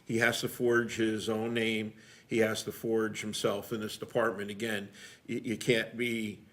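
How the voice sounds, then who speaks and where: A man's voice: lowish voice, little pitch movement, unhurried, fairly bright tone. A middle-aged man, a firefighter guest, talking casually and emphatically on a podcast and telling firehouse stories in a plainspoken way.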